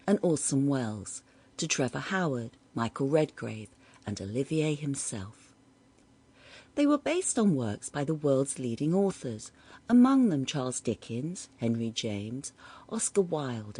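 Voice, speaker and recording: A woman's voice: very deep voice, mellow, husky. A woman narrating a scripted introduction to an audio theatre series.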